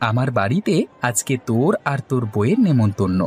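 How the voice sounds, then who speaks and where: A man's voice: mid-range voice, very animated, brisk, darkish tone, breathy edge. A man voicing a poor, hospitable village man in a cartoon, effusive and insistent. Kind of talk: Bengali cartoon story dialogue.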